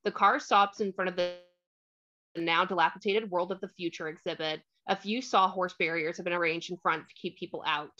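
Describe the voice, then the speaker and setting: A woman's voice: on the low side, fairly even intonation, a little fast, bright, forceful tone. A woman reading scripted stage directions as narrator of a screenplay table read, on a slightly dull microphone.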